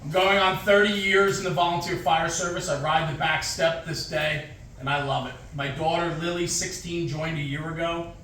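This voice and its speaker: A man's voice: on the high side, fairly even intonation, normal speed, pushed and bright, a little hiss or hum. A man in his forties giving an emphatic motivational speech at a fire department event, using punchy, repeated short phrases. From United States. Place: large echoey hall with an audience. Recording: very echoey hall, distant mic.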